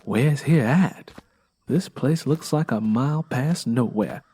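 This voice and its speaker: A man's voice: medium pitch, slow, warm tone, breathy edge. A man voicing a hurt, sullen young pregnant woman with a Southern US accent in an audiobook, muttering and resigned. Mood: uneasy.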